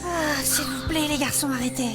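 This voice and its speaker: A very high, strained female voice over prominent music. A woman plays an anxious, determined adventuress in a fantasy audio drama, breathless and exclamatory as she urges her companions on. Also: steady tone, moderate pace, lightly acted. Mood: shaken.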